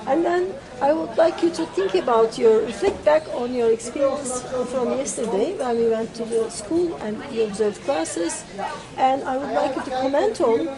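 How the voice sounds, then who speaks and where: A woman interviewing teachers, asking long semi-formal questions with many pauses and restarts. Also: high-pitched. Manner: hesitant, full of fillers and restarts. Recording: loud crowd chatter behind. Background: chatter of many people.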